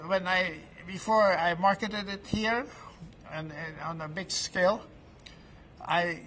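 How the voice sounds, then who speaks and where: Gravelly, bright-toned man in his late seventies. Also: on the high side, a little hiss or hum. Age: 70s. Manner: halting, restarting words, many fillers. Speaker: product promoter at an event booth. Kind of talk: informal testimonial interview. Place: trade event floor.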